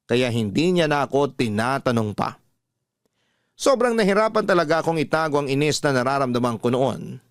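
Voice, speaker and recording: A man's voice: mid-range voice, no echo, studio quality. Male Filipino radio narrator, earnest confessional read, resonant tone, faint music underneath. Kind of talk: dramatic radio storytelling.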